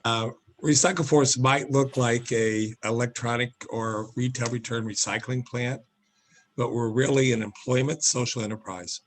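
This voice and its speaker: A man with a raspy voice today, introducing himself in a friendly, joking way on a webinar panel over video call. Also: medium pitch, fairly even intonation, normal speed, slight background noise. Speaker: panelist, enterprise founder.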